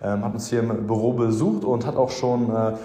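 A man hosts a relaxed interview from his office, filling pauses and repeating himself as he talks. His voice sits at a middling pitch. The room is echoey, with a long reverb hanging after each phrase. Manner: rambling, repeats words, many fillers. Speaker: fitness coach and host. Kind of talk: casual interview.

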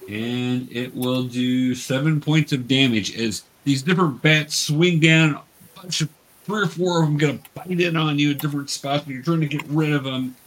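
A man's voice: on the high side, normal speed, fairly bright tone, low-quality recording. A man running a tabletop game for young beginners as game master, patiently explaining each step.

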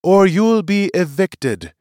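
A man performs an imperious female seer from a fantasy storybook, a serpent judge with a raised, forceful voice. She gives formal, ringing commands and expects to be obeyed. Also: on the high side, sing-song, unhurried, dry recording, clean studio sound, voice pushed up. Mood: angry, forceful.

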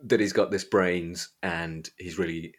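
A man's voice: deep voice, fairly even intonation, unhurried. A man from Derbyshire with an East Midlands English accent, chatting informally as a podcast host about a book. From Derbyshire, England. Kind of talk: casual book discussion chat.

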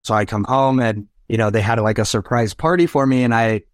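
A man's voice: mid-range voice, brisk, no room sound, clean studio sound. A young adult man whose first language is Spanish, earnestly telling personal anecdotes as a podcast guest and often checking in with "right?". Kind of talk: casual interview storytelling.

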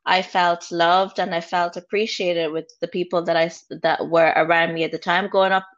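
A woman's voice: lowish voice, level tone, normal speed. An Irish woman speaking as a podcast guest, talking earnestly and thinking aloud with frequent restarts. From Ireland. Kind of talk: reflective personal conversation. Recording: dull lo-fi sound.

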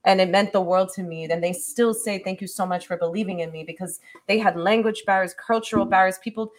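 A woman guest speaks in an even middle-pitched voice. She answers in an upbeat, motivational way and often agrees with a quick yes. Her talk flows well, with only a few fillers. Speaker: guest, CEO and TV host. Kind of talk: interview answers.

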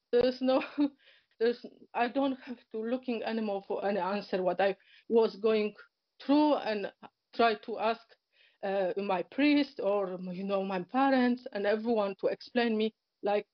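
A woman from Poland with a Polish accent, a guest in an interview, telling a personal story in casual speech. Her first language is Polish, and she talks hesitantly, with many fillers, second-language grammar and frequent soft laughs. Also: on the high side, no echo. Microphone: online call. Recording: dull, lo-fi internet call audio.

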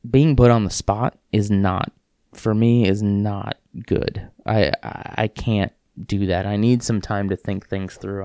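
A man hosting a solo podcast, rambling in a casual, self-deprecating way with halting restarts and a sniffle. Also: on the low side, warm tone, dry recording, clean studio sound. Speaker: podcast host.

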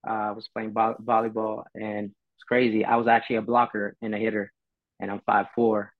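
A man's voice: lowish voice, little pitch movement, fairly quick, no echo. Easygoing man, muffled dry recording. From Philippines. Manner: easygoing, rambling, many fillers. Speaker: podcast guest. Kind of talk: casual chat. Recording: dull dry sound, faint music.

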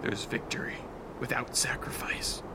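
A man playing a grave, solemn vampire in a radio drama, hushed and halting in delivery. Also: very noisy, natural voice.

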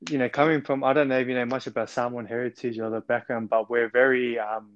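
Halting young australian man on a call. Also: medium pitch, level tone, dry recording, dull audio. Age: 20s. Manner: earnest, halting, frequent ums and restarts. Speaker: podcast guest. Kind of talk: telling his life story on a call. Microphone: remote call.

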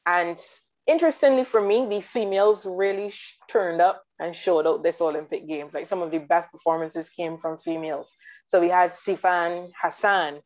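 A woman from Barbados chatting about sports in detail, enthusiastic and quick to laugh. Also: on the low side, a little mellow. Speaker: guest commentator. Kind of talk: casual sports chat. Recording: dull lo-fi audio.

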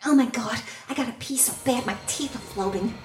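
A woman's voice: fairly high pitch, brisk, close to an everyday voice. A woman playing a chatty, nosy office worker in a campy, exaggerated audio drama. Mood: gossipy, flustered. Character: chatty, nosy female office worker who turns terrified. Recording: roomy echo, music behind.

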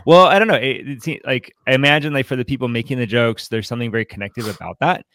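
A man's voice: medium pitch, quick pace, fairly bright tone, dry recording. A man co-hosting a podcast in casual book discussion, talking in a hesitant, rambling way with many false starts. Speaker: podcast co-host.

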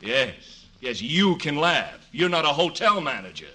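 Casting: prim, scandalized hotel manager, swooping dry sarcasm, old-time radio comedy. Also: male voice, on the high side, sing-song, measured pace, bright, forceful tone, heavily acted. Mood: flustered. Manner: fussy, dryly sarcastic. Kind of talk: 1950s radio comedy acting. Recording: noisy old 1950s radio recording.

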